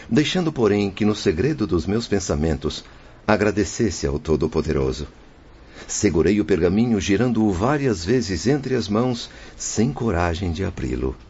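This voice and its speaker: A man playing a humble, anguished and grateful father who recounts his story in a radio drama, solemn and measured. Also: low voice, sing-song, darkish tone. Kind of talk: dramatic radio-play narration and dialogue. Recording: dull lo-fi audio, faint noise.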